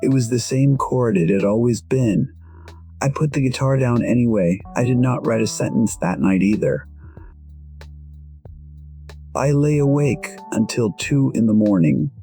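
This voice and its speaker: Slow, weary older male musician played by a man. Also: medium pitch, expressive, vocal fry, warm tone, faint music behind. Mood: quiet regret. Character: weary, proud 62-year-old male musician, quietly regretful. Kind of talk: first-person scripted narration, audio drama.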